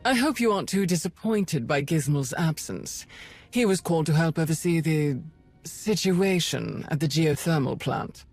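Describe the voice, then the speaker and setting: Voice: mid-range voice, expressive, moderate pace, a little mellow. An actor playing a coolly superior imperial Sith schemer in a video game, speaking formally and precisely. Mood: smooth assurance. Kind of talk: video game dialogue acting. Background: music.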